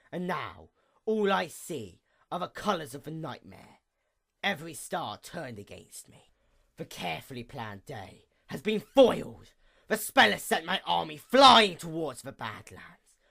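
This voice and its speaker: A woman voicing a bitter, envious dark goddess pony in a grandiose, brooding dramatic monologue. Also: low-pitched, vocal fry, full character voice. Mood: frustrated, brooding. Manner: grandiose, brooding, theatrical, formal.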